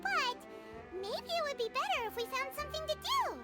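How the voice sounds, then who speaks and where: A woman voicing a tiny, eager female fairy companion in a video game, childlike and squeaky, with music behind her. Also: big pitch swings, a little fast. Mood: bright, curious. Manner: refers to self in third person, eager. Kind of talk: video game character dialogue.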